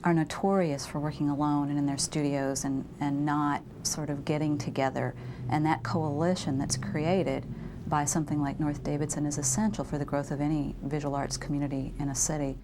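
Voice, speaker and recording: Very low-voiced woman, measured. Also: flat delivery, background noise. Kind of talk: documentary interview.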